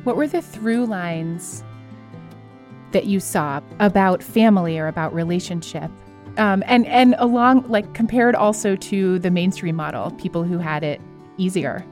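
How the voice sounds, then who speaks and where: A woman's voice: on the low side, a little slow, darkish tone, soft background music. A woman interviewing someone in a reflective conversation, phrasing her questions and responses with thought.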